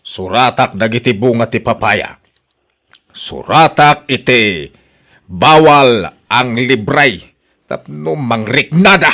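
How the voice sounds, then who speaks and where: An actor playing a grumpy, stingy old husband in a radio drama, scolding and complaining with an Ilocano accent. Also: male voice, mid-range voice, slow pace, bright, forceful tone. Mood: scornful, complaining. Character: grumpy, stingy old husband guarding his fruit trees. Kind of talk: Filipino radio drama acting.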